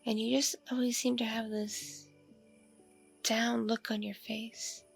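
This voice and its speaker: A woman playing a lonely, flirtatious vampire neighbor in an intimate ASMR roleplay, speaking in a breathy, creaky murmur with hesitant, drawn-out pauses. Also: on the high side, level tone, faint music behind, heavily acted. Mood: gently probing. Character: lonely, flirtatious, awkwardly polite pale vampire woman next door. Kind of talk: ASMR roleplay, intimate one-sided dialogue.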